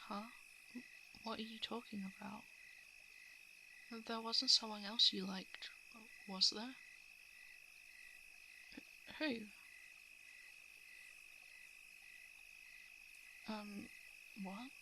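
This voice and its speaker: A woman playing a shapeshifting monster who poses as a lovelorn crush in an ASMR roleplay, speaking softly and hesitantly, often slipping into whispers. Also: mid-range voice, steady tone, slow, long pauses, background noise. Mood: confused. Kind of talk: ASMR roleplay, intimate confession. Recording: night insect and frog ambience. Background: crickets, frogs and insects.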